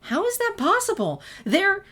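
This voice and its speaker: An eager, bookish teenage girl, acted out by a woman narrator, pitched well up and easily amazed. She gushes and fires off questions in a breathless, youthful way, as in an animated storybook reading. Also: moderate pace, exaggerated voice. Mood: amazed, excited. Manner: gasping, gushing, eager questions.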